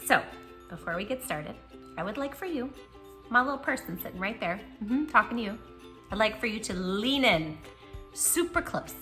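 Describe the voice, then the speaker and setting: A woman's voice: medium pitch, animated, normal speed, bright, forceful tone, soft background music. A woman hosting a read-aloud for young children, speaking playfully and directly to the child listening, with emphatic storytelling delivery.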